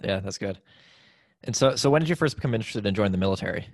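A man hosting a podcast, reading a measured introduction and asking interview questions. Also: fairly low pitch, animated, no room sound, clean studio sound. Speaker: podcast host.

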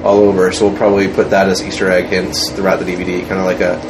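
A man's voice: low voice, monotone, fast. A man being interviewed as an independent filmmaker, chatting casually in a group. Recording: noisy room with air-conditioning hum.

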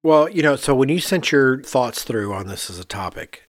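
A man's voice: mid-range voice, expressive, moderate pace, dry recording, studio quality. A man with an American accent co-hosting a casual discussion, explaining things and thinking aloud as he goes. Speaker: podcast co-host and architect. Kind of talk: casual conversational discussion of architecture.

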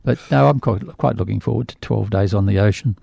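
Gravelly older man, rambling chat. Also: fairly low pitch, brisk, warm tone, no echo. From Australia. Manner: rambling, stumbles and repeats words. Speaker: radio show regular. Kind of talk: casual radio chat.

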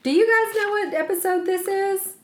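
A woman's voice: very high-pitched, moderate pace, crowd noise. Chatty woman cohosting a podcast, jokey, laughs a lot, room has a lot of echo. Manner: chatty, laughs easily, self-mocking. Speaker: podcast co-host, chef. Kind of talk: casual podcast chat. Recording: roomy echo.

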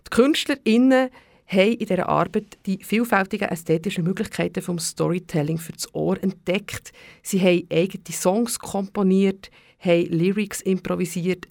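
Radio host, gravelly. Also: mid-range voice, no room sound, clean studio sound. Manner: friendly, explanatory. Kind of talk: radio program introduction.

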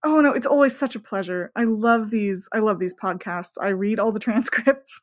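A woman's voice: medium pitch, normal speed. A woman chatting casually as a podcast guest, effusive and enthusiastic. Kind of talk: casual chat.

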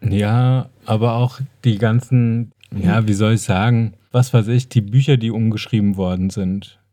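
Male podcast co-host, lowish and very mellow, wry conversational delivery with some groping for words, dry close sound. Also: fairly even intonation, moderate pace, dry recording. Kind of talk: casual podcast chat.

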